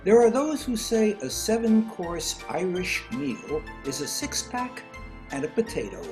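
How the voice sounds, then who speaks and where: Low, lively woman introducing segments. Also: measured pace, soft background music. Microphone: video call.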